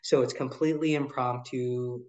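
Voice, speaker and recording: A man's voice: mid-range voice, normal speed. Guy in his 30s talking about his arts job, loose and chatty, lots of ums. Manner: relaxed, many ums and uhs. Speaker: arts nonprofit director, guest. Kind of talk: casual interview answers.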